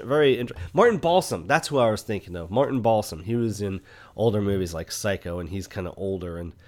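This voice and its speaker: Casual man chatting about films. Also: fairly low pitch. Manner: conversational, enthusiastic, punctuated with "right?" and "you know". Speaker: movie podcast host. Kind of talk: casual film review chat.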